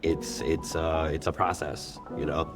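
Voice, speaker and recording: A man's voice: very deep voice, level tone, a little slow, over music. A middle-aged man, a chef being interviewed, telling his story in a rambling way with many fillers and restarts. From born in Thailand, raised in New York. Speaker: chef and restaurant owner, guest.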